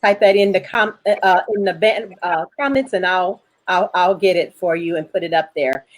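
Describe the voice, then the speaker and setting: A woman's voice: fairly low pitch, normal speed. Frank, jokey woman hosting a chat. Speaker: host, filmmaker. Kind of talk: casual panel chat.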